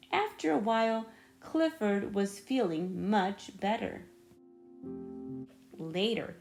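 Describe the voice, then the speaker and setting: A woman narrates a children's storybook at a slow, measured pace. Her pitch moves around a great deal, and she builds up dramatic moments in an animated way. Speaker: storybook reader. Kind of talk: expressive children's read-aloud narration.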